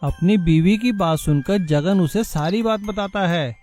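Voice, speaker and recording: A man's voice: sits high, a little slow, a little mellow. A man reading scripted narration for a moral story. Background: music.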